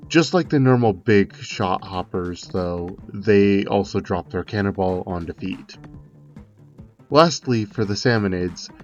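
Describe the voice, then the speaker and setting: A man's voice: lowish voice, highs cut off. A man narrating a scripted video game analysis, with conversational asides thrown in. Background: music.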